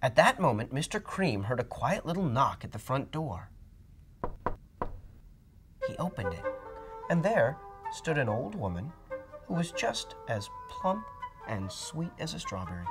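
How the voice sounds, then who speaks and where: A middle-aged man reads a picture book aloud with dramatic, animated delivery. His pitch rises and falls widely. Soft music plays faintly beneath him. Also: unhurried, pauses often. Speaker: storybook reader. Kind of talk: expressive storybook read-aloud.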